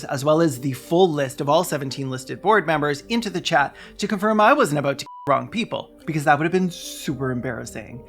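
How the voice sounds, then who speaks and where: A video essayist with a mid-pitched voice reads scripted narration. The delivery is sardonic and theatrical, with dry humour, and music plays underneath.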